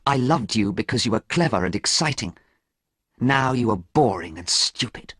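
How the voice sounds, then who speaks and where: A man voicing a proud young gentleman in a storybook reading, speaking coldly and bitterly. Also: on the low side, lively intonation, moderate pace, husky, no room sound, studio quality.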